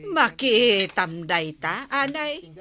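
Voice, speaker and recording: A woman's voice: on the high side, very animated, takes it slowly, pushed and bright, exaggerated voice. A woman playing a nosy, teasing aunt in an Ilocano comedy drama, prodding and drawling, with a Filipino Ilocano accent. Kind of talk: Ilocano radio drama acting.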